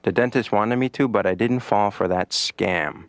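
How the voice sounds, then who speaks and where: Deadpan comedian, a man, on the couch. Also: lowish voice, normal speed, natural voice. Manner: deadpan stand-up delivery, many "you know"s. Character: comedian patient on the therapy couch. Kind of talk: stand-up in a cartoon.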